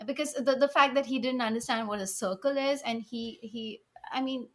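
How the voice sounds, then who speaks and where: A woman on a film podcast, speaking softly and hesitantly with many ums. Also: on the high side, normal speed. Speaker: podcast guest. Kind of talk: casual podcast discussion.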